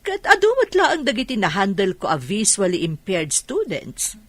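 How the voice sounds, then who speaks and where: A husky, encouraging woman teacher. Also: medium pitch, huge pitch swings, moderate pace, a bit bright, dry recording. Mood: welcoming, formal. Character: courteous, welcoming woman computer trainer for blind students. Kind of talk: radio drama acting.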